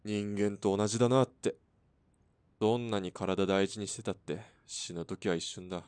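Guy doing chill brooding sniper, radio drama, lowish voice, dry close sound, short evasive answers. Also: level tone, moderate pace, a little mellow, clean tone, no echo, close to an everyday voice. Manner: laid-back, terse, evasive. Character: laconic, brooding male sharpshooter, nonchalant smoker. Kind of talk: Japanese radio drama acting.

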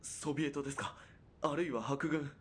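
A man's voice: fairly high pitch, fairly even intonation, brisk, husky. A man playing a principled, dutiful military police sergeant in a period radio drama, speaking formally, with earnest restraint. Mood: uneasy, restrained.

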